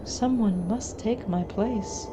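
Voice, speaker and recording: Woman doing a soft sinister shadow spirit, ominous and commanding, horror story, noisy background. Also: mid-range voice, takes it slowly, natural voice.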